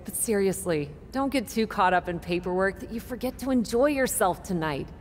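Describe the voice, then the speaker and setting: A woman's voice: medium pitch, lively intonation, normal speed, slight background noise, natural voice. A woman voicing a friendly wrestler, echoing hall. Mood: encouraging. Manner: friendly, teasing. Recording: distant, reverberant hall.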